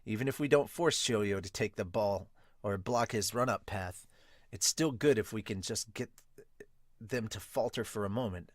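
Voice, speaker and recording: A man voicing a cool, calculating young volleyball strategist in a manga dub, quiet and measured. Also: lowish voice, no room sound, clean studio sound, voice pushed down, natural voice.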